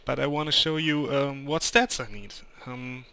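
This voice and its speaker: A man's voice: mid-range voice, normal speed, creaky, sharp tone, smooth. A young German man with a German accent, speaking English as a second language, giving hesitant gameplay commentary. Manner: hesitant, many ums and restarts. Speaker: gamer.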